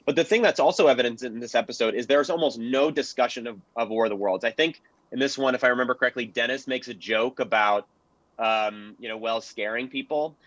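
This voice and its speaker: A man talking informally as a panelist on history, explaining in a measured, analytical way. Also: medium pitch, fairly bright tone, no echo, dull audio. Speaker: panelist, radio history commentator.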